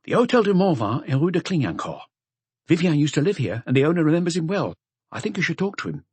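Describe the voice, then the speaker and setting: A man playing a dutiful police inspector reporting briskly and factually to his chief in an audiobook. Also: on the high side, big pitch swings, darkish tone, slightly rough, no echo, voice pushed up. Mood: eager.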